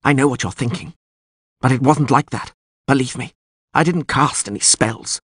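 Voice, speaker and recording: A man's voice: mid-range voice, normal speed, fairly bright tone, breathy edge, dry recording, studio quality, voice pushed up. A man voicing an agitated, haughty nobleman in an audiobook, with a strained, raised voice. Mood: anxious, pleading. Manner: sneering, blustering, agitated. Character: haughty, furious nobleman, frightened and pleading when bound. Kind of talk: audiobook character acting.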